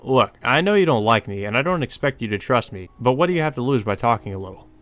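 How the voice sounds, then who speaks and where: A man's voice: medium pitch, expressive, fast talker, a bit bright, close to an everyday voice. A man voicing an easygoing, teasing male private investigator in a visual novel, casual and wry. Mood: concerned. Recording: music behind the voice.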